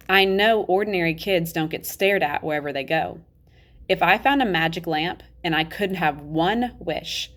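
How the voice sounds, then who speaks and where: A woman's voice: lowish voice, a bit bright, natural voice. Woman reading as a candid ten-year-old boy. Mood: matter-of-fact, wistful. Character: ten-year-old boy narrator, candid, self-aware, matter-of-fact. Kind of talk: children's novel read-aloud.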